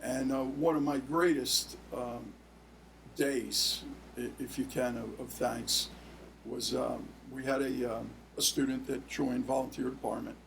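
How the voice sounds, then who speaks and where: Older male guest, creaky gravelly voice, plain-spoken, halting phrasing, faint background noise. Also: medium pitch, fairly even intonation, low-quality recording. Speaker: interview guest, retired fire official and school principal. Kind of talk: conversational interview.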